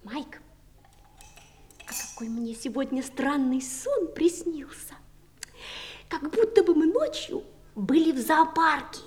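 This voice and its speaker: A woman's voice: sits high, animated, slow pace, long pauses, a little mellow. A woman playing an earnest, wondering little girl in a 1960s audio play, with a childlike, wide-eyed delivery. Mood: excited, confiding.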